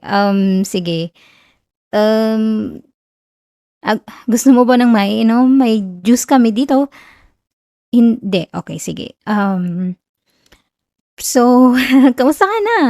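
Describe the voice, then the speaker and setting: Woman acting a whiny, flustered young Filipino tsundere, high-voiced. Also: lively intonation, darkish tone, no room sound, studio quality. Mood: nervous, welcoming. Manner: whiny, stammering, halting with nervous giggles. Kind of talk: Tagalog ASMR romance roleplay.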